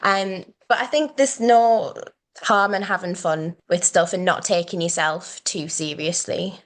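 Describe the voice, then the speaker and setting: A woman's voice: lowish voice, measured pace. A British woman in her twenties, a guest on a casual interview, bubbly and rambling with lots of fillers. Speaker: guest, history content creator.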